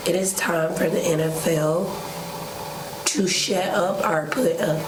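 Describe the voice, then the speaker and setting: Very slow, deliberate woman, roomy sound. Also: lowish voice, fairly even intonation, noisy background. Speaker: vlog co-host. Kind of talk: candid conversational commentary. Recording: roomy echo, music underneath.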